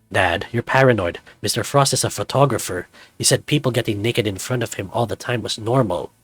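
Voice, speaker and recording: A man's voice: fairly low pitch, fairly quick, no echo, faint music behind, natural voice. A man voicing a naive, eager young dragon son who protests earnestly to his father in a visual novel. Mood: protesting.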